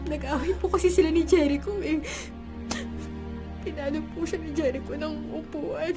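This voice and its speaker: Young filipina mom, bit high voice, meek n polite, radio drama w music under. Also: female voice, fairly even intonation, moderate pace. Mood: distressed, apologetic. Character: young mother, humble, apologetic, grateful goddaughter.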